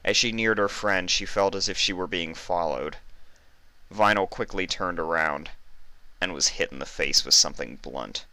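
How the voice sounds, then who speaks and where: A man's voice: deep voice, vocal fry, sharp tone, dry recording. A man reading fan fiction aloud as scripted story narration.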